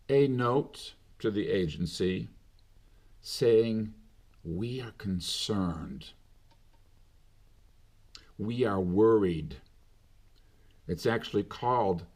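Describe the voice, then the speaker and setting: Slow, deliberate middle-aged man. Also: fairly low pitch, a little mellow. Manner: slow, deliberate, with long pauses. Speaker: commentator. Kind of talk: unscripted monologue.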